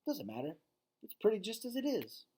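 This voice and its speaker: Kind young artist girl for a children's audiobook, voiced by a man, raised pitch, light and lively. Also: a little fast, breathy edge, full character voice. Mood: puzzled, kind. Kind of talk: children's novel read aloud.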